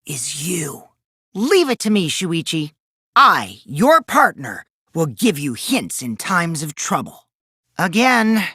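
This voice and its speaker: A man's voice: sits high, pushed and bright, dry recording, studio quality, exaggerated voice. An actor playing a mischievous teenage boy in an anime game dub, a gleeful liar who teases and taunts theatrically, shifting between singsong mockery and sly menace. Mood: sly, confiding.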